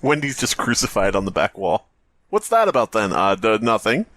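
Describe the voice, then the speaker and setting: A man's voice: on the low side, sing-song, normal speed, a bit bright. A man hosting a podcast, bantering casually and reading aloud for comedy, irreverent and given to swearing. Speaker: podcast host.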